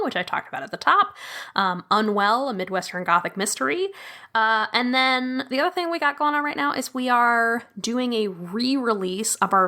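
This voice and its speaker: Bright-voiced bubbly woman guest. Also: mid-range voice. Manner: bubbly, laughs often, full of fillers. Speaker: podcast guest, audio drama producer. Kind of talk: casual chat.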